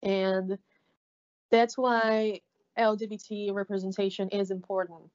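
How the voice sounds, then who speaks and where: A woman with a Brazilian Portuguese accent, co-hosting a podcast in a halting, casual way, with many fillers and restarts. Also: medium pitch, little pitch movement, a little mellow. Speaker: podcast co-host. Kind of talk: casual recap chat. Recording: slightly dull lo-fi audio.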